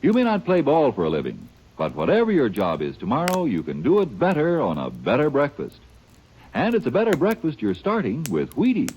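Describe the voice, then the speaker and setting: A man's voice: wildly swooping pitch, brisk, a little mellow, a little hiss or hum, poor audio. A man delivering a folksy, hearty 1940s radio cereal commercial. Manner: folksy, hearty sales pitch. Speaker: commercial announcer. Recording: old radio broadcast.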